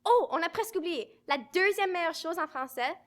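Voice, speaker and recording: High-voiced young woman. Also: expressive, brisk. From Manitoba, Canada. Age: young adult. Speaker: youth project member. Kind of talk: scripted sketch delivery.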